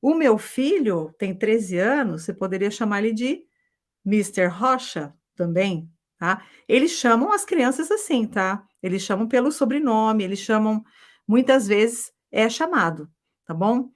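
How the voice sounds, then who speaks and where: A woman in her forties from Brazil, teaching a live class with a lively manner, often ending phrases with a quick check and having students repeat after her. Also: fairly high pitch. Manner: lively, ends phrases with a checking tag, drills repetition. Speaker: English teacher. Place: abroad in Europe.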